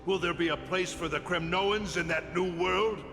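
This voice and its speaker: An actor playing an embittered, defiant old warrior teacher in a video game, gravelly and accusing in measured dramatic lines. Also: male voice, high-pitched, fairly even intonation. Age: older. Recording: long hall reverb under loud music.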